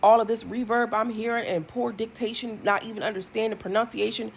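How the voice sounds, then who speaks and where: A woman's voice: on the high side, fairly even intonation, brisk, creaky, a little hiss or hum. A woman in her thirties giving blunt, sassy music commentary for her video channel, with lots of ums and asides. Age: 30s. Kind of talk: casual opinion commentary.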